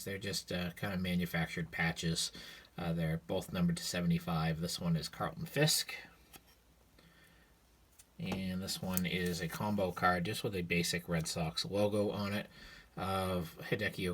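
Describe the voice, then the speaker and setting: Male vlogger, deep and husky, hoarse with a cold, easygoing and rambling, recorded on a phone at home. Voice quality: raspy. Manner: relaxed, rambling, with frequent fillers. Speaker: sports card collector vlogger. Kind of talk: casual show-and-tell vlog. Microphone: cell phone.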